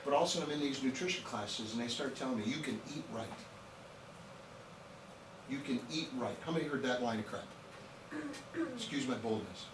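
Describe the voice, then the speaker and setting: A man's voice: mid-range voice, lively intonation, a little fast, pauses often, noisy background. Man around 50, casual joking trainer telling stories to a live room, echoey hall, heard from some distance. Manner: chatty, joking, storytelling, rhetorical questions. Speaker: nutrition trainer. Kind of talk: informal lecture to a live audience.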